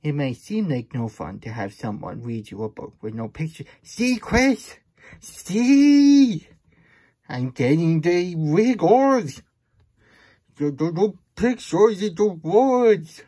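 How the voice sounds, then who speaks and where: Man voicing a husky, slow-talking childlike plush hedgehog. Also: on the high side, sing-song, mellow, heavily acted. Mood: reluctant, fretful. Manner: stammering, childish grammar, exasperated asides. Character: plush hedgehog storyteller, childlike, fretful and grumbly. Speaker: host of children's story time. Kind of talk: puppet read-aloud with chatty asides.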